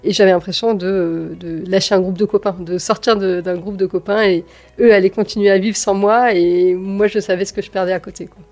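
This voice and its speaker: Woman, thirties, mid-range pitch, conversational interview storytelling, halting and repetitive phrasing. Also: steady tone, a little mellow, faint music behind. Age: 30s. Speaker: podcast guest, engineer and manager.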